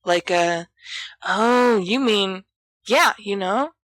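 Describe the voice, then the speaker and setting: A woman's voice: mid-range voice, drawn-out words, fairly bright tone, dry recording, pitched above the performer's own voice. A woman voicing an imperious, flippant teenage schoolboy with a commanding, teasing manner in an audiobook story. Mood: musing.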